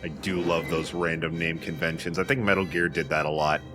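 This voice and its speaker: A man's voice: extremely low pitch, level tone, brisk. A man co-commentating on a video game in casual, joking banter. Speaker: let's play co-commentator. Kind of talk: casual banter over gameplay. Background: video game music.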